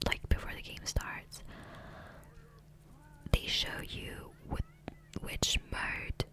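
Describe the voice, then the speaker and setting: A woman whispering softly and breathily into a close microphone in a rambling, hesitant ASMR gameplay commentary, often trailing off. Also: poor audio. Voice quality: soft, breathy unvoiced whisper. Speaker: ASMR gaming creator. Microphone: close USB condenser microphone.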